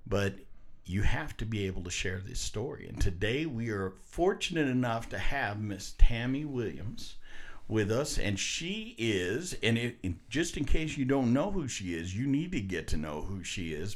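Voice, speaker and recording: A man hosting a ministry interview, talking in a folksy, preacherly way. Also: mid-range voice. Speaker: ministry show host. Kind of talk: faith interview.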